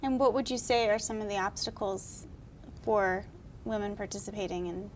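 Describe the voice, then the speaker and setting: A woman hosting a local TV interview program, asking measured, polite questions. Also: mid-range voice, slight background noise. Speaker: TV interview host.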